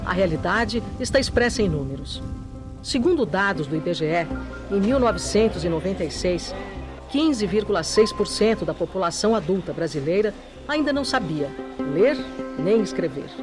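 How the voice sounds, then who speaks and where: A woman narrating a documentary in a slow, measured, solemn way. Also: medium pitch, lively intonation. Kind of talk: scripted narration. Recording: music bed under the voice.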